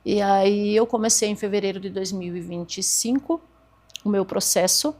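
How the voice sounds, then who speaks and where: A woman's voice: mid-range voice, normal speed, darkish tone. A woman in her forties, a podcast guest earnestly telling her own story and acting out past conversations. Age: 40s. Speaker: podcast guest, therapist and speaker.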